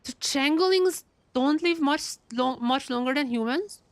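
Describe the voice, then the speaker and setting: A woman giving excitable, casual live reactions as she streams a game, often repeating her words. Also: high voice, a little slow, no room sound.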